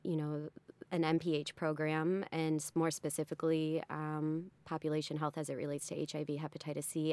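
A woman's voice: low-pitched, a little mellow, no echo. A woman in her late twenties telling a personal story in an earnest, heartfelt way. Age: 20s. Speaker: interview participant and health advocate.

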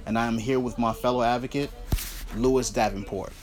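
A man in his thirties, an advocate, interviewing a guest in an earnest, steady manner with some fillers. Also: mid-range voice, fairly quick. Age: 30s. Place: indoors, seated interview.

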